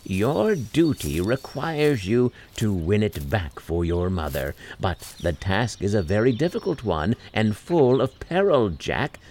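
A man's voice: lowish voice, sing-song, a little mellow, a little hiss or hum. A man voicing a mysterious fairy lady in a storybook, solemn and grave as she gives counsel. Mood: solemn, urging. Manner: grave, deliberate.

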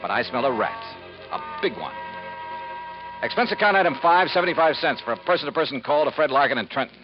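Actor playing a lively, hard-boiled 1950s radio investigator. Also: male voice, mid-range voice, fast talker, natural voice. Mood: suspicious, narrating. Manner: wry, clipped, hard-boiled. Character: brash, shrewd freelance insurance investigator, a man. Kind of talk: 1950s radio drama acting with first-person narration. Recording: muffled 1950s radio recording with music.

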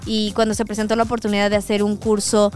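A woman, a tattoo artist guest in a radio studio, talking in a relaxed, chatty way and joking around. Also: mid-range voice, fast talker, faint music behind. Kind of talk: casual radio interview.

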